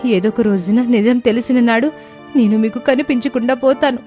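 A woman's voice: on the high side, fairly even intonation, normal speed, mellow, lightly acted. A woman voicing an outspoken, aggrieved sparrow wife in a cartoon moral story, speaking in a plaintive, reproachful way. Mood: defiant, bitter. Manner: plaintive, reproachful, long emotional speeches. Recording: music bed behind voice.

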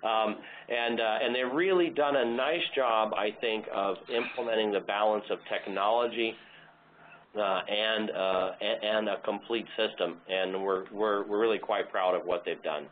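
A faintly noisy, narrow phone line carries a fairly low-voiced American man. He hosts a webinar informally. He talks haltingly and casually, with a light teasing manner. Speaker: webinar host. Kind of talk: informal introduction. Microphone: phone or web conference line.

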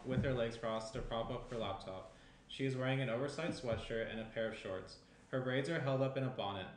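A young man narrating screenplay stage directions at a table read. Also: mid-range voice. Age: young adult. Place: small room at a table. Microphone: shared tabletop microphone. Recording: roomy indoor sound, clear background noise.

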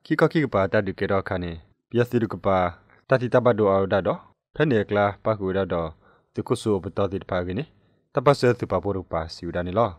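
A man's voice: on the low side, no room sound. A man reading a scripted radio news report as a newsreader.

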